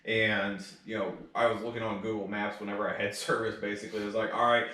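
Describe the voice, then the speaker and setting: A man's voice: on the low side, flat delivery, quick pace, pushed and bright. A man chatting casually and informally as a podcast co-host, with chuckles and plenty of filler phrases. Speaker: podcast co-host leading the topic. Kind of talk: casual chat about cars and racing. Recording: echoey room, distant mic.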